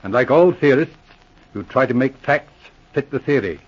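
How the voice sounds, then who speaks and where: A methodical, dry-witted Mountie sergeant with a husky edge and a mid-range voice. He talks with patient, teasing calm in 1940s radio style. The sound is a dull, lo-fi old radio broadcast. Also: mid-range voice, normal speed.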